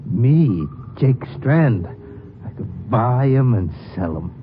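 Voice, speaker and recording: An American actor in a 1950s radio drama playing an old, paranoid, half-crazed ex-gangster who rambles and pleads in rough slang. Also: male voice, medium pitch, very animated, slow pace, narrow, tinny audio. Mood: indignant. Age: older. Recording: noisy, band-limited old 1950s radio recording.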